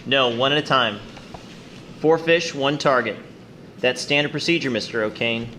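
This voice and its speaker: Guy doing a stern sub captain in a war drama, forceful clipped orders, bit high, faint engine noise behind. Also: normal speed, close to an everyday voice. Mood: focused, decisive. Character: stern cautious male submarine captain in wartime. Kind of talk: radio-play style war drama acting.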